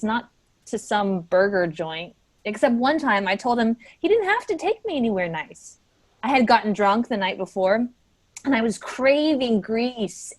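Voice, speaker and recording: Woman acting as sensitive, higher-voiced office worker. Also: no room sound. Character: sensitive female office worker, guilt-ridden and defensive. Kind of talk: staged reading of a play.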